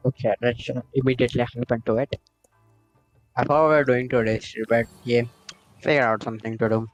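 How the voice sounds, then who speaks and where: A man's voice: medium pitch, little pitch movement, brisk, soft background music, rough recording. A man chatting casually while he plays a game on a live stream. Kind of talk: casual gameplay chat.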